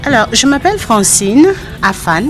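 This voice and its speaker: A woman from Cameroon answering interview questions as an insurance agent, explaining things in a measured way. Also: on the high side, sing-song, soft background music.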